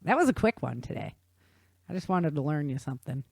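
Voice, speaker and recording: A woman's voice: low voice, very animated, a little fast, gravelly, a little mellow, no room sound, studio quality. A woman hosting a podcast, explaining things in an informal, folksy and enthusiastic way as casual chat. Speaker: podcast host.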